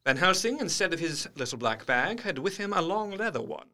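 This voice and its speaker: A man's voice: sits high, very animated, fairly quick, sharp tone, heavily acted. A man playing an earnest diarist recounting horrors in a gothic audio drama, with formal, intense, literary narration. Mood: grim, sombre.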